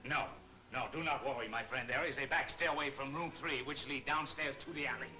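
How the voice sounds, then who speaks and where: An actor playing a sly, genial French underworld club owner with broken English and smooth, mock-innocent courtesy, in 1940s movie serial style. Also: male voice, mid-range voice, fairly quick, natural voice. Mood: reassuring. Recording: old 1940s film soundtrack, music behind.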